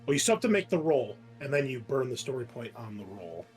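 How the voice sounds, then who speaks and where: A man's voice: medium pitch, sing-song, fast talker. A man playing a tabletop game, chatting casually and thinking aloud in a hesitant way. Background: soft music.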